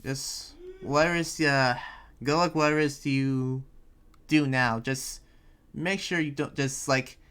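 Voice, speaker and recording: A man playing an earnest, preachy but friendly town leader in an anime roleplay, speaking in a halting, informal way. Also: mid-range voice, steady tone, a bit bright, smooth, lightly acted. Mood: advising. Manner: halting, rambling, informal advice-giving. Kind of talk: improvised Minecraft anime roleplay. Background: music under the voice.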